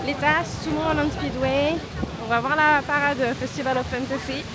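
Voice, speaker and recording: Young woman vlogging at a theme park, high voice, chill and chatty, lots of uhs, loud crowd and music all around. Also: normal speed. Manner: chatty, relaxed, frank, hesitant with fillers. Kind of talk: casual travel vlog chat. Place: outdoors at a busy theme park.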